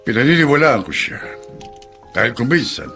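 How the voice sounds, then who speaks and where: A protective, stern middle-aged father speaks firmly in a forceful, animated voice. The delivery is emphatic radio drama with music faintly behind it. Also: medium pitch, moderate pace, creaky. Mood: stern, concerned. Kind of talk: Filipino radio drama acting.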